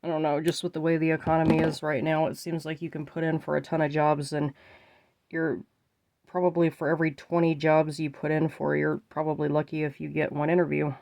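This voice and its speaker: A woman's voice: low-pitched, level tone, fairly quick, vocal fry, a little mellow. A woman with a stuffy, congested nose chatting casually to viewers while she makes bracelets. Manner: chatty, often addresses viewers as you guys. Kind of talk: casual chat while crafting.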